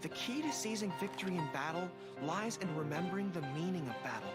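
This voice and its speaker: A man's voice: sits high, animated, fast. A man playing a spirited young military general in a game, earnest and commanding. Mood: resolute. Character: spirited young male military general rallying his troops. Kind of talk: scripted game character trailer acting. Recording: game trailer with music behind. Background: loud music.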